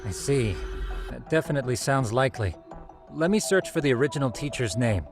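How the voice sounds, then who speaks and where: An actor playing a precise, bookish researcher in an English game dub, speaking formally and methodically. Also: male voice, mid-range voice, animated, brisk, music in the background, lightly acted. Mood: analytical. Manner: formal, exact, explanatory. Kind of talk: English video game dub cutscene acting.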